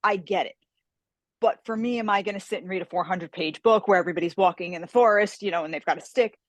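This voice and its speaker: A woman's voice: lowish voice, fast talker, no echo. A woman guest talking about her work as a fantasy author, chatty and enthusiastic, on a video call. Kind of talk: casual interview conversation.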